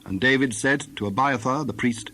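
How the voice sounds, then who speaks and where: A man's voice: mid-range voice, normal speed, bright, forceful tone. Solemn male scripture narrator, noisy backdrop.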